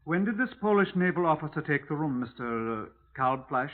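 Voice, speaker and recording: An actor playing a brisk Scotland Yard sergeant in a 1950s radio drama, formal, matter-of-fact and deadpan. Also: male voice, a little high, phone-quality sound, close to an everyday voice. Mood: probing. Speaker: detective and narrator. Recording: thin, band-limited 1950s radio recording.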